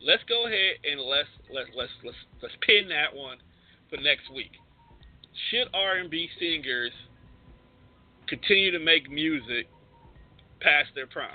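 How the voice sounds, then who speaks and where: A man's voice: a little high, expressive, measured pace, long pauses. A man co-hosting a radio show, debating casually in a slangy, argumentative way. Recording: tinny, phone-like internet radio audio.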